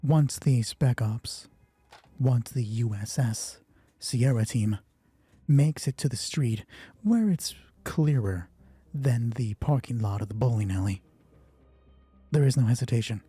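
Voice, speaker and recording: Husky, mellow man running a tabletop game. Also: mid-range voice, unhurried, pauses often. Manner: informal, swearing, thinking aloud. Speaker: solo roleplay game master and narrator. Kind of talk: narrating a tabletop game, dramatic storytelling mixed with casual rules talk.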